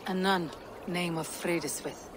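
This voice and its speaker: An actress playing a stoic, blunt Viking warrior woman in a video game, measured and resolute. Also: fairly low pitch, steady tone, background noise, lightly acted. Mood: solemn. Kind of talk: video game cutscene acting.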